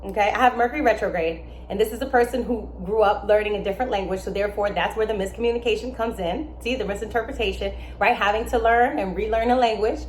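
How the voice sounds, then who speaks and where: A young adult woman, born in Germany and raised in America, explaining astrology in a casual, emphatic way with lively asides. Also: mid-range voice, quick pace, few pauses, slight background noise. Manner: animated, emphatic, with asides and laughs. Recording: echoey room with faint ambience.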